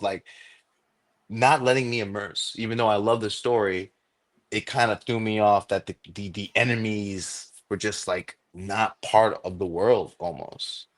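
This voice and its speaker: A man's voice: fairly low pitch, fairly bright tone. A man talking in a casual chat as a guest, eager and filling his speech with "like" and "um". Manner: enthusiastic, many fillers like "like" and "um". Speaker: guest content creator.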